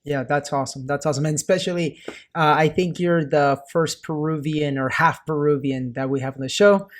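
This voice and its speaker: A man from Peru co-hosting a podcast, excited and enthusiastic. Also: a little high, a little fast. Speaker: podcast co-host. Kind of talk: casual podcast chat.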